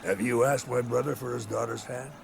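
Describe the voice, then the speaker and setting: A man's voice: mid-range voice, steady tone, fairly quick, gravelly, noisy background. A man playing a genial but worried older Greek king and father in a game, speaking in a stately, courtly way. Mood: welcoming, troubled. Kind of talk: dramatic game cutscene acting.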